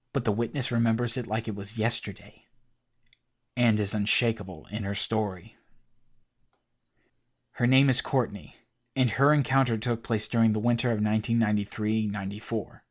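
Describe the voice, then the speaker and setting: A man narrating a horror story in a steady, scripted, documentary-like way. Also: on the low side. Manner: steady documentary-style telling.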